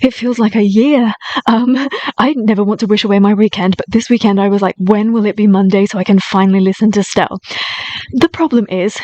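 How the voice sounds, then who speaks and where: A woman's voice: medium pitch, fairly even intonation, brisk, darkish tone, no echo, dull audio. A woman hosting her own reaction channel, talking in a chatty, eager and often apologetic way. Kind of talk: casual reaction commentary.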